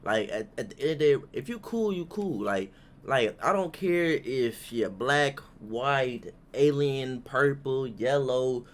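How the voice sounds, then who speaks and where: A man's voice: mid-range voice, animated, unhurried. A man giving casual off-the-cuff reaction commentary, rambling and blunt, with slang and swearing. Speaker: host reacting to a video.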